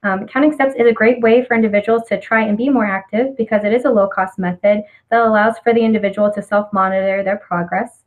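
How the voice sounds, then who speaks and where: A woman's voice: medium pitch, fairly even intonation, quick pace, hardly any pauses, low-quality recording. Young American woman presenting a study. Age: 20s. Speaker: student presenter.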